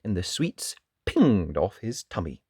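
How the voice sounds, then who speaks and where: An expressive storybook reader with a hugely varied, swooping delivery. The pace is unhurried and dramatic, and the sound is close and dry, made for young children. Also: low voice, slightly rough, no room sound. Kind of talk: animated children's read-aloud narration.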